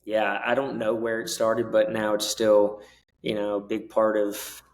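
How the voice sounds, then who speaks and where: Man, podcast guest, flat monotone, easygoing storyteller. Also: on the low side, unhurried. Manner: laid-back storytelling, flat delivery. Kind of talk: casual interview conversation.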